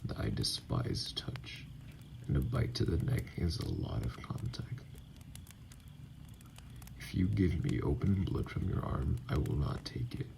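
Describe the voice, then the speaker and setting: A man voicing a wounded, weary, distrustful vampire in an ASMR roleplay, speaking hesitantly in formal, guarded wording with a gravelly, sometimes breathy voice. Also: noisy background, full character voice. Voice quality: very deep, gravelly, breathy at times. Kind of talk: ASMR roleplay audio acting.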